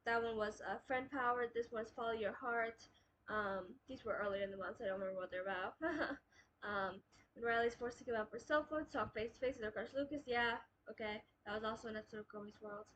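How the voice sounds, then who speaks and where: Young woman, nasal from a cold, higher voice, rambling casual vlog delivery, faint hiss behind. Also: a bit bright, poor audio. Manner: rambling, many ums and restarts. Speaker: booktube host. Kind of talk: casual monthly reading wrap-up.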